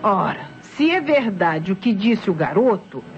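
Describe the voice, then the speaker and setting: A woman's voice: mid-range voice, very animated, a little slow. A Brazilian woman playing a police inspector's eager, chatty assistant in an old radio mystery. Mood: inquisitive. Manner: eager, informal. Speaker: radio actress. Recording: dull old radio recording with music.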